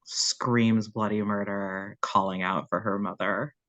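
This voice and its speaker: A man with a fairly low voice speaks as a player in a tabletop roleplaying game. He narrates his character's actions in a casual way. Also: level tone, slow, a bit bright, muffled recording.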